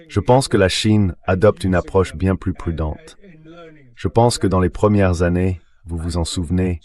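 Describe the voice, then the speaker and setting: A man with a low voice gives geopolitical commentary as an interview guest. His delivery is measured and analytical, in the synthetic voice of a dubbed translation. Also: darkish tone.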